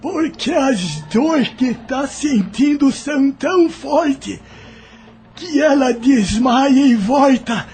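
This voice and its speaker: A man plays a humble, fearful enslaved servant in an audio drama. The voice is extremely high and slow, with rustic phrasing and a deferential manner toward those above. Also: animated, darkish tone, soft background music, heavily acted. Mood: sorrowful.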